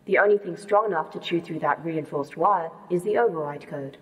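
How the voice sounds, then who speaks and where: Deep-voiced, deadpan female A.I., played by a woman. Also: highs cut off, a little hiss or hum, close to an everyday voice. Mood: formal. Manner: measured, formal, deadpan. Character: polite, literal-minded A.I. of secret facility. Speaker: actor in sci-fi horror audio drama. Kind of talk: audio drama acting. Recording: long hall reverb, faint background ambience.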